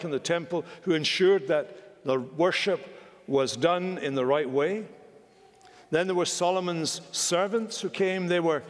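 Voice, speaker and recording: A man in his sixties preaching a sermon from a pulpit in a large wood-panelled church, emphatic and measured, with wide swings of pitch. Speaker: senior minister preaching. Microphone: pulpit microphone. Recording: long church hall reverb.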